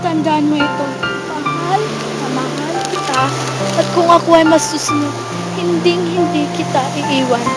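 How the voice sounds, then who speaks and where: A woman's voice: high voice, moderate pace, lightly acted. A woman playing a dying mother saying a tender, sorrowful farewell to her son in a drama. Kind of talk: theatrical drama monologue. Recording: loud music over amateur drama audio.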